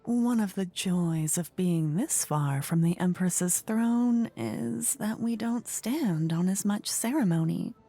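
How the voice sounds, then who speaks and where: A woman playing a playful, regal fantasy queen in a soft-spoken, breathy ASMR roleplay, languid and coy, often slipping into whispers close to the microphone. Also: medium pitch, takes it slowly, hardly any pauses, faint music behind. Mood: breezy, knowing. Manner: languid, coy, flirtatious, courtly. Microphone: close ear-to-ear microphone.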